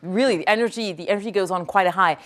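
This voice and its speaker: Brief: native French speaker, woman, lively lecturer in a hall, repeats each question before answering. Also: medium pitch. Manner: energetic, quick to praise, repeats each question aloud.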